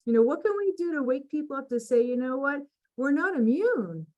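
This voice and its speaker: A woman's voice: sits high, sing-song, a little fast, darkish tone, highs cut off. A woman from the United States co-hosting an interview, speaking earnestly and reflectively. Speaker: co-host. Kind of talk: casual interview talk.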